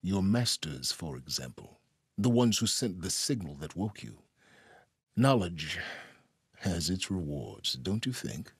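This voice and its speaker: An actor playing a cunning, arrogant male scholar in a video game, speaking in a measured, aloof, articulate and condescending way. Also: deep voice, big pitch swings, husky, dry recording, clean studio sound, full character voice. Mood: sly, knowing. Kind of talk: scripted video game dialogue.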